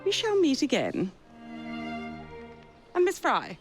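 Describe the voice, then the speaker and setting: An actress playing a gracious, teasing elderly queen in a video game cutscene, speaking in a formal, measured way. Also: high-pitched, wildly swooping pitch, loud music behind the voice, heavily acted. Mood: regal.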